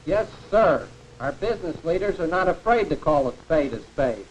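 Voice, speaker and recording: A man's voice: on the high side, sing-song, normal speed, rough recording. An actor playing a pompous, emphatic American pro-business man lecturing in a 1940s educational film with a hissy soundtrack. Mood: self-assured.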